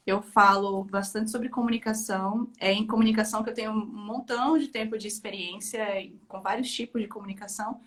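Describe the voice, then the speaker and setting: A woman from Bahia with a Bahian accent tinged by Rio, a communication coach talking casually as a guest. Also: mid-range voice. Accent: Bahian with Rio touches. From Bahia, Brazil. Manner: relaxed, self-explaining, frequent "né". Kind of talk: casual interview chat.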